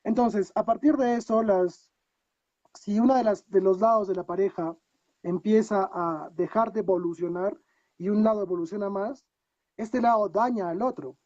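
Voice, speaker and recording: A man's voice: high-pitched, moderate pace, darkish tone, no room sound, dull audio. A man with a Peruvian accent, a guest on a video call, talking reflectively and asking himself many rhetorical questions. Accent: Peruvian Spanish. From Peru. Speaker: guest coach. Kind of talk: casual interview talk.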